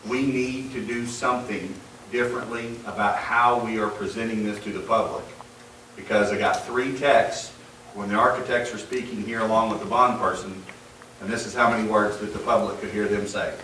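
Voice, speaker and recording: Man, loud, blunt, emphatic, far from the mic, echoey room, noisy. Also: on the low side, steady tone, normal speed. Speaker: staff member handling the meeting's live stream. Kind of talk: board meeting discussion. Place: school board meeting room.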